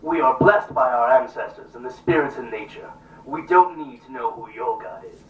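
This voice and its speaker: A man's voice: mid-range voice, normal speed, natural voice. A man playing a proud, hospitable villager in a puppet show, speaking emphatically. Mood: challenging. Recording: echoey distant dull stage recording with music.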